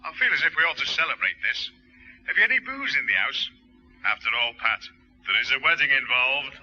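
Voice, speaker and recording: Brash, piercing suitor. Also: male voice, mid-range voice, very animated, fairly quick, bright, forceful tone, faint music behind. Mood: jovial. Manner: brash, blunt. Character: brash, scheming, hard-hearted male suitor. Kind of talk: 1960s radio sitcom acting. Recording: harsh, muffled old radio recording.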